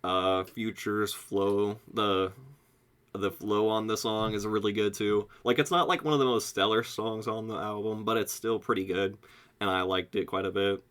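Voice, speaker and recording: A young American man giving a casual, excitable album review, slangy and sweary, saying "like" constantly. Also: fairly low pitch, moderate pace, smooth. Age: young adult. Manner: excitable, slangy, heavy use of "like", frequent swearing. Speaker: music reviewer.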